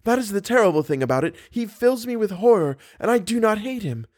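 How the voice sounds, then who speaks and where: Guy doing a scared young woman in an audiobook, voice lifted up high, earnest and full of feeling. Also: very animated, fairly quick, darkish tone. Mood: impassioned, horrified. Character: anguished young woman, frightened, earnest and passionate. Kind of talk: audiobook character dialogue.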